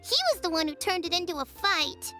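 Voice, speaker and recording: A woman's voice: very high voice, fairly quick, over music. A woman voicing an earnest, slightly sulky but well-meaning young child in a video game, with a childlike voice. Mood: sheepish, apologetic. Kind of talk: video game character acting.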